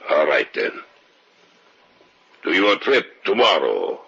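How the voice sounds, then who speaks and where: Gravelly, very deep-voiced ominous host. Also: male voice, sing-song, takes it slowly, pushed and bright, heavily acted. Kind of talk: 1940s-50s American radio horror acting. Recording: muffled old radio recording.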